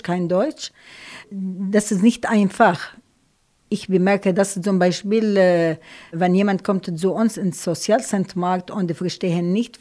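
A woman with an Arabic accent speaking German as a second language in a radio studio interview, halting, with grammar slips and frequent fillers. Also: lowish voice, darkish tone. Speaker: studio guest, volunteer refugee helper.

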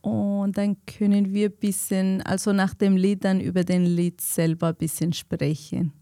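A woman's voice: mid-range voice, level tone, a little slow, mellow, no room sound, studio quality. A woman hosting a community radio show, asking questions in a friendly, encouraging way. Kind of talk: casual radio chat.

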